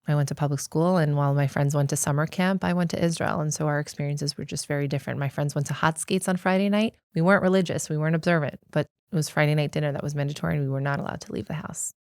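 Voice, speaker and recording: American woman, low voice, telling her own story in an interview, articulate, very dry recording. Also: fairly even intonation, quick pace, hardly any pauses, darkish tone, clean tone, no echo. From United States. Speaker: interview guest, activist. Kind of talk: reflective personal interview.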